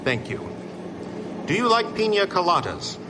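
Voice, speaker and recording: A man playing an officious customs officer in a comedy sketch, speaking with polite, deadpan bureaucratic insistence. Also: high-pitched, normal speed. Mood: deadpan, insistent. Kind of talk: comedy sketch acting before a live audience. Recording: noisy live stage recording.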